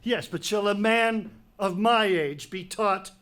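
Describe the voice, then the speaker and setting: Man playing an imperious high-pitched king. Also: takes it slowly, fairly bright tone. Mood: indignant. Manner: imperious, declamatory. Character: proud, autocratic middle-aged king and stern father. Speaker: actor in a dramatic reading. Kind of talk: dramatic stage reading of Greek tragedy.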